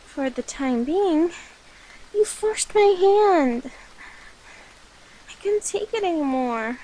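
A shy, clingy catgirl familiar, acted by a woman for an ASMR roleplay. The voice is very high and slow, with flustered, halting, pleading delivery. There is some faint noise behind it. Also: expressive, dark tone, heavily acted, poor audio. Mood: shy, affectionate.

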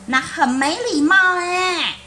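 Storybook mom, fed up, shrill and high, as a woman puts her on. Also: slow, background noise. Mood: exasperated. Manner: shrill, exasperated. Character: exasperated mother scolding her son.

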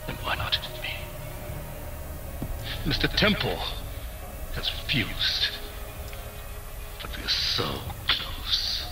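Game fanatic: quietly menacing, lively intonation, roomy echo, persuasive intensity. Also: male voice, mid-range voice, takes it slowly, pauses often, music in the background. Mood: persuasive, intense. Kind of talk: dramatic video game acting.

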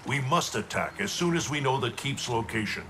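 An actor playing a solemn, formal ancient thunder god in a video game. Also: male voice, medium pitch, lively intonation, brisk, close to an everyday voice. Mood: grave. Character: grave, ancient male thunder god protector. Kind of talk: video game cutscene acting. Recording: clear ambient noise behind.

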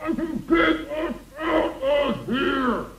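A man's voice: very high-pitched, slow, a crowd in the background. A man voicing a mean, bossy, scheming monster puppet in a children's educational show, dismissive and goading. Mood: desperate. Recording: noisy old 1960s film soundtrack.

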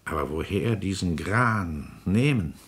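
A man's voice: low-pitched, expressive, a little slow, fairly bright tone. A man acting a haughty, scheming court president and powerful father in a 1950s German radio drama, with a weighty, imperious, theatrical delivery. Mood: uneasy, curious.

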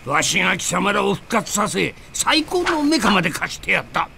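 A man playing a blustering, bossy elderly mad scientist villain in an animated game, pompous and scolding. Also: sits high, normal speed, vocal fry, a little hiss or hum, heavily acted. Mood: irritated. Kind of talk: animated game cutscene acting. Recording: loud music behind the voice.

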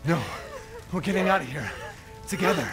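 A man's voice: fairly high pitch, lively intonation, moderate pace, music in the background, lightly acted. An actor playing an urgent, reassuring, protective father in a cinematic video game. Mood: firm, reassuring. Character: determined protective father trapped in a burning village.

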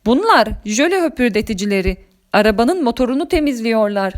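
A friendly green storybook monster played by a woman reader with a fairly high voice. This loyal race driver sounds spirited, savvy and matter-of-fact. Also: very animated, fairly quick. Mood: urgent, explaining.

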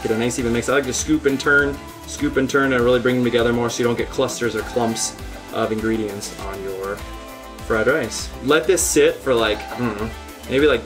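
A man in his thirties hosting a home cooking demo, giving earnest step-by-step instructions with deadpan jokes, recorded on a lapel mic in a home kitchen. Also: medium pitch, a little fast. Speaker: cooking show host. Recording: music playing underneath.

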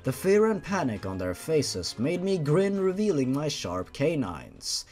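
Guy reading a horror story out loud, dramatic, very animated pitch. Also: a little slow, faint music behind. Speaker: host reading a fan horror story aloud.

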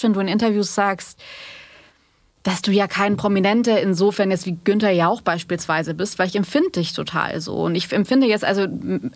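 A woman's voice: medium pitch, no echo. A woman hosting a podcast interview, talking at length in an informal, conversational way.

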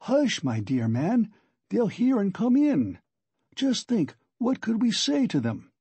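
Man as sly male investigator, audiobook character, high swooping pitch, wheedling, chatty, exclamatory, theatrically solicitous. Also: huge pitch swings, takes it slowly, dark tone, slightly rough, no echo, exaggerated voice. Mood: alarmed.

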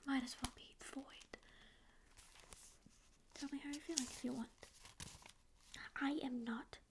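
A woman's voice: sits high, fairly even intonation, unhurried, noisy background. A woman voicing a grouchy, ancient sleep paralysis demon who secretly cares, speaking in a sarcastic, mock-rude ASMR roleplay whisper close to the mic in a small dim room. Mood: grumpy whisper. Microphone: close ASMR microphone.

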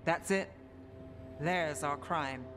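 Analytical investigator woman, clear music behind. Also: low voice, slow pace, fairly bright tone. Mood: analytical, deliberate. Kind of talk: conversational video game cutscene dialogue. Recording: dialogue under clear background music.

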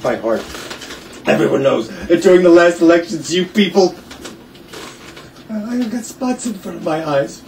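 Echoey distant recording, male actor as hypochondriac suitor, stage farce, highish voice, whiny, self-pitying. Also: lively intonation, normal speed, creaky, long pauses, a little mellow. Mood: panicked, ailing. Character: fussy, hypochondriac male suitor, stubborn neighbour landowner. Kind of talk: farcical stage comedy acting. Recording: echoey room, distant mic, faint noise.

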